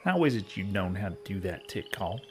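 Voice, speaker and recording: An actor playing a folksy, earnest frontier guide with a drawling rural Western American accent in a western audio drama. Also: male voice, low voice, sing-song, a little fast, slight background noise. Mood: curious.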